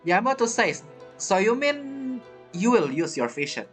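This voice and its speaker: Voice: medium pitch, big pitch swings, normal speed, music in the background. A game streamer giving casual, reactive let's-play commentary in a chatty way, often repeating phrases.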